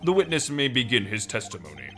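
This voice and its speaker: A man's voice: medium pitch, sing-song, fast talker, sharp tone. A man voicing a courteous, authoritative older courtroom judge with a formal manner in a video game fandub. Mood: official.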